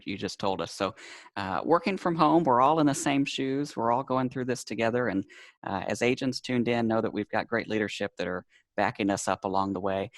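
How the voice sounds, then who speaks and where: A man's voice: medium pitch, dry recording. A man hosting a casual live interview, friendly and upbeat, laughing often. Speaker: interview host.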